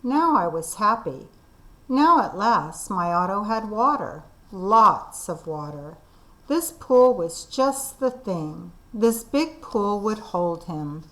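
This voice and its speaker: A woman teacher reading a children's storybook aloud, expressive and deliberate. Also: medium pitch, poor audio. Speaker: kindergarten teacher.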